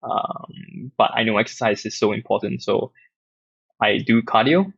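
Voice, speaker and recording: A young man with a Singaporean accent, hosting a casual late-night Q&A, reading questions aloud and answering in a relaxed, rambling way with plenty of fillers. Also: fairly low pitch, a little slow, vocal fry, slightly rough, dry recording. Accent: Singaporean English. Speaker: app community host answering questions. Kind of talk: casual livestream Q&A. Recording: dull lo-fi dry audio.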